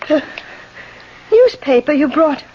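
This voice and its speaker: An actress playing a frightened widow in a 1970s radio thriller, stammering and pleading desperately. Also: female voice, high-pitched, big pitch swings, takes it slowly, full character voice. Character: terrified widow trapped with a killer, desperately pleading. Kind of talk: 1970s radio drama acting. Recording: muffled, hissy 1970s radio broadcast.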